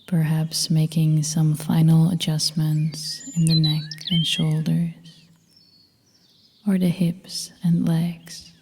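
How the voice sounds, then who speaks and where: Woman, low soft half-whisper, very slow and even, calm meditation guide, long reverb with birdsong. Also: background noise. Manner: calm, gentle, soothing. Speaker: yoga nidra instructor.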